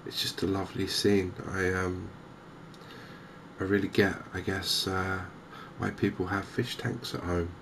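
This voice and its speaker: A man giving softly spoken, gentle ASMR game commentary in an unhurried, hesitant way. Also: low voice, little pitch movement, gravelly, slight background noise. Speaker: host of a cozy gaming channel.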